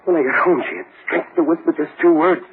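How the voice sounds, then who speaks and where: A man's voice: a little high, fast talker, noisy background, lightly acted. A man playing a vengeful, desperate accused husband. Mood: anguished. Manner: intense, gruff, broken by grief. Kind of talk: 1940s radio acting. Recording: tinny old radio broadcast.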